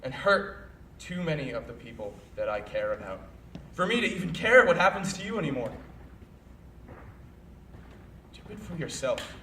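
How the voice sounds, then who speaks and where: Bitter, bright-voiced young man, acted, far off. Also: a little high, expressive. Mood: hurt, resigned. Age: young adult. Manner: accusatory, emphatic, with deliberate pauses. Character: bitter, reproachful young male college student confronting a former friend. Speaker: stage actor. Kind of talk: dramatic stage monologue. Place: indoor performance space, picked up from far off. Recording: roomy, distant live stage recording, faint noise.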